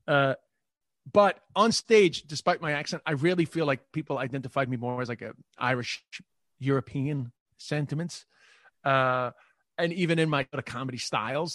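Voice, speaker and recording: A man's voice: on the high side, no room sound. A man with a New York accent, a comedian talking casually in an interview and often restarting his sentences. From New York, Queens. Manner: rambling, repeats words and restarts. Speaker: comedian guest.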